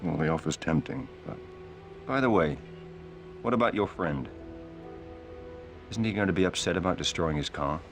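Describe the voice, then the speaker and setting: A cool british spy, deep voice, dry wit, clipped and understated, game cutscene. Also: male voice, animated, normal speed, pauses often, music in the background. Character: cool, dry-witted male British secret agent. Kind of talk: video game cutscene acting.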